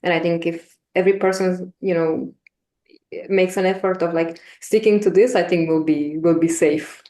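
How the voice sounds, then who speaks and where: Earnest woman, mellow voice, echoey room. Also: on the low side, fairly even intonation, moderate pace. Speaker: researcher, guest speaker. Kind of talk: reflective conversational answer. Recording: roomy, echoey sound.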